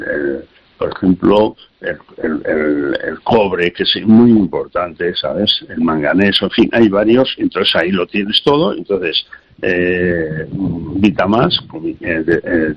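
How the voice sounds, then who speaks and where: A man's voice: deep voice, gravelly, husky, highs cut off. A man giving health advice on a call-in radio show, hesitant and repeating small words as he explains.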